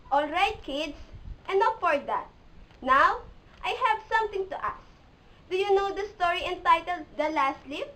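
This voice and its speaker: A woman's voice: very high voice, lively intonation, normal speed, bright, forceful tone, full character voice. A woman playing a firm, instructive schoolteacher who tells a story to pupils in a puppet show. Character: strict female schoolteacher telling a story to pupils. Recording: echoey hall, distant mic, noisy.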